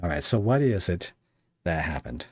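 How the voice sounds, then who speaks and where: A man's voice: sits low, big pitch swings, normal speed, breathy edge, no room sound, clean studio sound. A man hosting a podcast in casual interview chat, speaking in a hesitant way as he thinks aloud. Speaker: podcast host.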